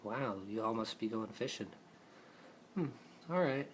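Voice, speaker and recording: A man's voice: on the low side, lively intonation, measured pace, a little hiss or hum, poor audio. A man improvising playful puppet show narration, chatting casually as if to the puppets, in an amateur recording.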